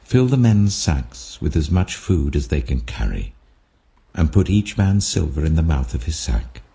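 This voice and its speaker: A man voicing a stern, authoritative governor with measured delivery in a dramatic scripture reading. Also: very deep voice, very animated, gravelly, dark tone, full character voice. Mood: commanding. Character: commanding, stern male governor of ancient Egypt.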